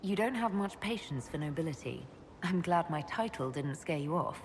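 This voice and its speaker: A woman playing a calm, caring noble leader with a gentle, direct manner in video game dialogue. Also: low-pitched, moderate pace, slight background noise. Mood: gentle, curious.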